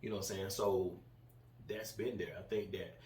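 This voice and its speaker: A man in his forties, co-hosting a casual political chat, animated and fond of acting out other people's reactions. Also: low voice, moderate pace. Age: 40s. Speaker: co-host. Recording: echoey room, distant mic, faint noise.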